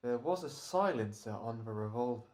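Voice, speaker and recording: A man's voice: mid-range voice, expressive, moderate pace, lightly acted. A man playing a smug, careful hired killer, speaking with hesitant, mannered politeness in a radio drama. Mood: quietly smug. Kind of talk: old-time radio drama acting.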